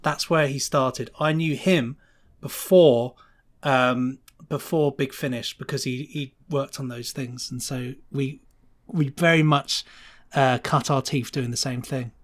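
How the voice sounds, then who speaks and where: A man's voice: medium pitch, steady tone, unhurried. A man speaking as a podcast guest and sound designer, chatting casually and enthusiastically in an effusive, rambling way.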